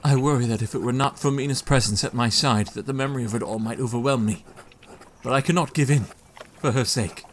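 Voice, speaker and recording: A man's voice: medium pitch, a little fast, slight background noise, lightly acted. An actor playing an anxious young male hero who narrates his journal in a brooding tone in an audio drama.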